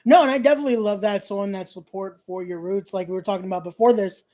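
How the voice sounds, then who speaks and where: A podcast host from Ecuador leads a relaxed interview with a mellow, darker tone. The host talks with eager enthusiasm and often doubles back on words mid-sentence. The voice sits at a middling pitch. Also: quick pace. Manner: enthusiastic, repeats words while searching for them. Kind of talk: casual interview chat.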